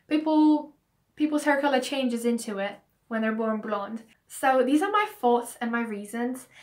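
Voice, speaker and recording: Croaky young woman vlogging. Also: on the high side. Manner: chatty, earnest, explaining her reasons. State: croaky voice from hay fever. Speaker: beauty vlogger. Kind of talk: casual talking-to-camera vlog.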